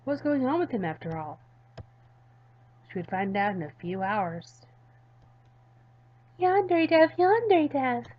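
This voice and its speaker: A woman with a medium-pitched voice hosts a gaming video. She reads visual novel narration aloud and talks casually to viewers. The sound is a little dull and lo-fi. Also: lively intonation, moderate pace, long pauses. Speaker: gaming YouTuber.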